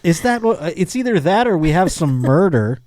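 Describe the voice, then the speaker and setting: A man's voice: high voice, sing-song, a little fast, a little mellow, a little hiss or hum. A middle-aged man hosting a comedy podcast, chatting casually in a rambling, profane way.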